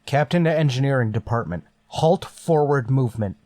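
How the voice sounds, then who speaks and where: A man's voice: mid-range voice, animated, normal speed, warm tone, dry recording, lightly acted. A man playing a stern, suspicious starship captain in a radio sci-fi drama, commanding and insistent. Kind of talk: golden age radio sci-fi drama acting.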